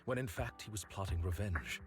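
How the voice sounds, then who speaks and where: An actor playing a stern, principled agent in a video game, speaking gravely, formally and deliberately. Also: male voice, deep voice, very animated. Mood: solemn, troubled. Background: game music.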